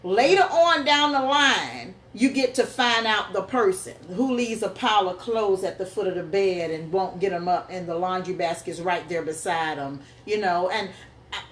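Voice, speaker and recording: A woman's voice: mid-range voice, normal speed, fairly bright tone. A woman co-hosting a casual chat show with her husband, playful and laughing often. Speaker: co-host. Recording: echoey room with faint noise.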